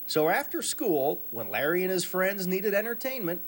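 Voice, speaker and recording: A man's voice: high-pitched, sing-song, normal speed. A man narrating a scripted television news feature as a reporter. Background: music.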